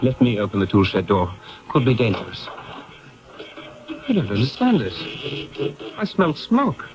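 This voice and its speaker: A man's voice: mid-range voice, wildly swooping pitch, moderate pace, music in the background. An actor playing a polite, curious and sympathetic male interviewer and houseguest in a 1970s radio drama. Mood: puzzled, alert. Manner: polite, inquisitive, earnest. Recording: dull lo-fi 1970s radio broadcast.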